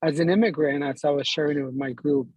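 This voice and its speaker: Male, from Ecuador, raspy and a little high, informal group sharing, close dry sound. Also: fast talker, a little mellow, dry recording. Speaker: workshop participant.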